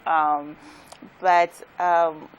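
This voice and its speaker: A woman from Ghana, a building contractor, speaks in a very low, deep voice. She answers softly and hesitantly, filling pauses with "um.". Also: flat delivery, clear, resonant tone, muffled recording. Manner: soft-spoken, hesitant, frequent "um"s. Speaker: contractor, guest. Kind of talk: interview answers.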